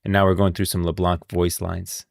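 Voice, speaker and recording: A man's voice: low-pitched, flat delivery, moderate pace, vocal fry, dry recording, clean studio sound. A man giving casual livestream commentary on game lore, informal and insistent, thinking aloud.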